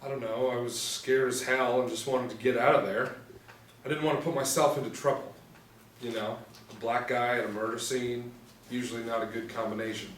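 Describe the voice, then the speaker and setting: A man's voice: mid-range voice, fairly even intonation, fairly quick, natural voice. A young man acting a scared, reluctant student witness in a scripted table read, hesitant and guarded. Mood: uneasy, reluctant. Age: young adult. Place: small indoor room, seated reading. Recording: echoey room, distant mic, faint noise.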